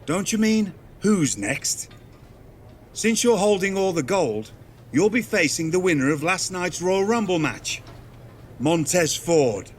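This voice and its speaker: A man's voice: high voice, animated, slow pace, a little hiss or hum. An actor playing a seasoned older wrestling official with a wry, showman-like manner in a video game. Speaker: wrestling show official and ring introducer. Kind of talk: scripted game dialogue.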